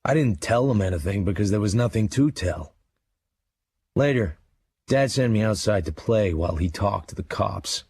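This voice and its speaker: An actor playing a brooding American crime writer, narrating a drama in a terse, hard-boiled, gravelly voice. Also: male voice, fairly low pitch, expressive, moderate pace, a little mellow, dry recording. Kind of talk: first-person scripted drama narration. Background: music.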